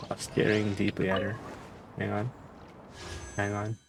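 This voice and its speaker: Creaky-voiced man commentating on a game. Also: on the low side, completely flat delivery, normal speed, background noise. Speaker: gaming streamer. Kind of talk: casual gameplay commentary. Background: game music.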